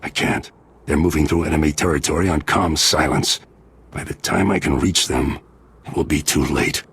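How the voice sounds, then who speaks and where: An actor playing a stern, worried older nobleman and father in a video game, with a very deep, gravelly, husky voice. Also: moderate pace, a bit bright, exaggerated voice. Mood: grim urgency. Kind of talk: dramatic video game acting.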